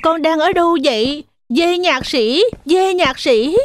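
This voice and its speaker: A woman's voice: high voice, lively intonation, unhurried, faint music behind. A woman voicing a protective, stern mother goat in a children's cartoon, speaking firmly. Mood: worried.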